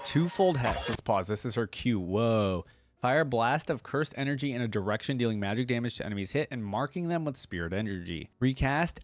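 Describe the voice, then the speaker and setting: A man giving casual reaction commentary, chatty and curious, thinking aloud as he goes. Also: medium pitch. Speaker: reaction video host.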